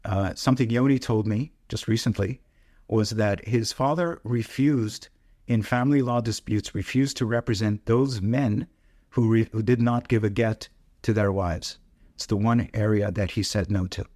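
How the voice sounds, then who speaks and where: A man's voice: lowish voice, steady tone, moderate pace, darkish tone, no room sound, clean studio sound. A man co-hosting a casual news podcast, telling stories in a reflective way. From Hungarian-speaking family. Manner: reflective storyteller, reads aloud at times. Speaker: podcast co-host.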